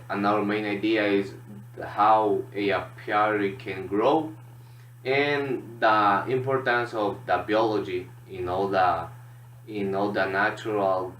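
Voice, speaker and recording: A man from Honduras with a Honduran Spanish accent gives a student presentation in halting, non-native English. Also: on the low side, fairly bright tone. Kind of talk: classroom presentation. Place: echoey room. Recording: echoey room, distant mic, background noise.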